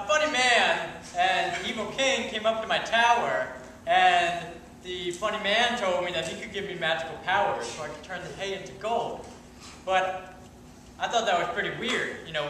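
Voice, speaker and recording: A man's voice: high-pitched, normal speed, pushed and bright. A man improvising as an offhand, easily bored fairytale princess, telling the story casually on stage in an echoey room. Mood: offhand, puzzled. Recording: distant mic, echoey noisy room.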